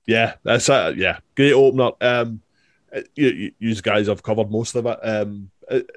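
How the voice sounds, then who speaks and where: A man, chatting about an album as a podcast co-host, halting and full of restarts. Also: on the low side, no room sound, clean studio sound. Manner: halting, many fillers and restarts. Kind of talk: casual album discussion.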